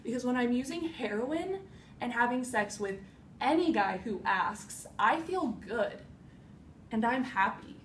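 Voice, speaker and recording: A grieving woman searches herself aloud in a stage monologue, acted by a young woman. She is raw yet resolute, speaking slowly with weighted pauses. The sound is roomy and distant from the microphone. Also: mid-range voice, a little mellow. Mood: defiant, pained. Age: young adult. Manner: slow, deliberate, emphatic phrases with heavy pauses. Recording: roomy echo, distant mic, faint noise.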